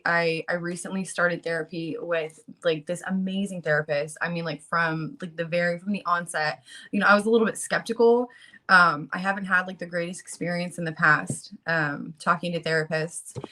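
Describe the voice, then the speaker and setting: A woman in her late twenties who just woke up, talking earnestly and haltingly, with many ums and you-knows, partly reading resources aloud. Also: lowish voice, sharp tone. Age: late 20s. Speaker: guest speaker on a channel about fighting child protective services.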